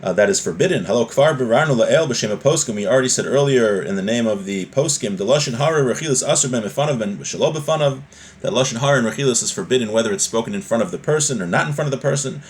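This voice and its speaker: A man's voice: mid-range voice, expressive, fast talker. A man giving an informal religious lesson in a didactic, conversational way, reading from a text and then explaining it. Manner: didactic, conversational, checks in with "right?". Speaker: religious teacher. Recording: noisy background ambience.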